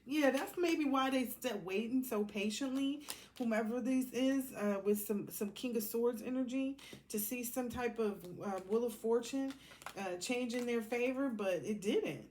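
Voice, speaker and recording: A woman's voice: on the high side, measured pace, a little mellow, slight background noise, poor audio. A woman giving a casual, intuitive tarot reading, speaking informally and bluntly as she drifts between asides. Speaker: tarot reader.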